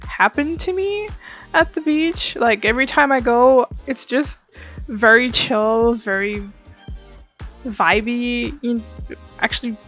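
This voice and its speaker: Young woman, high voice, slow chatty delivery, breezy and gushing, casual story-time host over music. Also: fairly bright tone.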